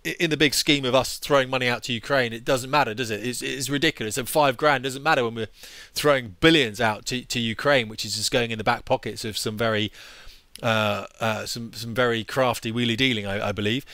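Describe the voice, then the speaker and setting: Man in his forties, headset mic, quick bright ranting delivery, dry close sound. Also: mid-range voice, fairly even intonation, no room sound. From United Kingdom. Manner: indignant, ranting, emphatic. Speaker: YouTube commentator, former fighter pilot. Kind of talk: opinionated commentary over on-screen articles.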